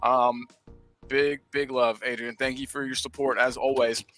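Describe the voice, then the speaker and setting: A man from the United States hosting a casual livestream, reading chat comments and giving upbeat shout-outs. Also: medium pitch, flat delivery, measured pace, faint music behind. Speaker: livestream host.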